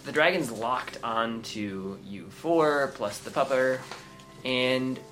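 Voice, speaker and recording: A man runs a tabletop game as game master and narrates the scene in a halting way full of fillers. His voice is bright and forward. A faint hiss sits behind it. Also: mid-range voice, low-quality recording.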